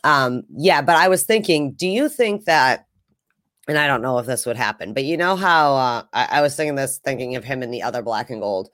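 A man's voice: mid-range voice, lively intonation, a little fast, fairly bright tone, no echo, clean studio sound. A man co-hosting a sports podcast in casual chat, rambling and thinking aloud in a conversational way.

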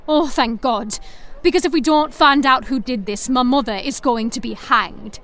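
A woman's voice: high-pitched, normal speed, over music. An actress playing a desperate young Victorian woman seeking help, hesitant and earnest in an audio drama. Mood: anxious.

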